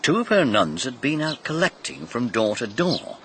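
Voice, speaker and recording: A blunt, sceptical British police inspector in a radio mystery. His bright, forceful voice swings very widely in pitch as he makes his plain-spoken points, with some noise behind him. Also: very animated, moderate pace. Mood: informative.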